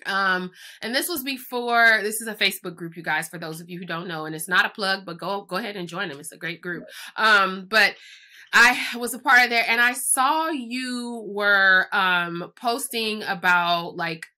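Stuffy-nosed bright woman host. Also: medium pitch, moderate pace. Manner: chatty, enthusiastic, many fillers. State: stuffy, congested. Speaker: podcast host. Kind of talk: casual interview hosting. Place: home room, video call. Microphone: webcam or laptop mic on video call.

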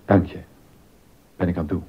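An actor playing a troubled, disappointed court composer and husband in a scripted historical radio drama, with a husky voice. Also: male voice, sits low, fairly even intonation, normal speed, dull audio. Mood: pensive.

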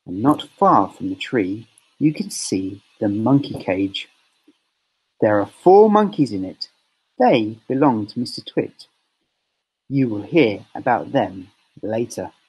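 Male storybook reader, expressive and deliberate, animated pitch, slightly raspy. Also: poor audio. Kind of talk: children's story reading.

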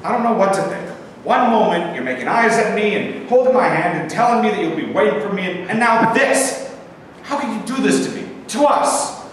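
A man's voice: high-pitched, lively intonation, fairly quick. A man in his twenties playing an earnest, hot-headed young soldier and baseball fan in a staged play reading. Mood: indignant. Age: 20s. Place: large bare indoor room. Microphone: far camera. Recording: echoey hall, distant camera microphone, faint noise.

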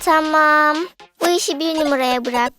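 A woman's voice: high voice, normal speed, close to an everyday voice. A woman voicing a self-assured, teasing little girl cartoon doll in a dub. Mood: cocky. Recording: cartoon music underneath.

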